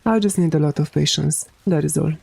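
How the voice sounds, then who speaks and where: Androgynous voice w a romanian accent, low gravelly fry, kinda mellow, laid back swearing. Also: brisk, dry recording. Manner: relaxed, swears casually, many fillers. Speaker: game streamer. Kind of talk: casual chat while streaming a game.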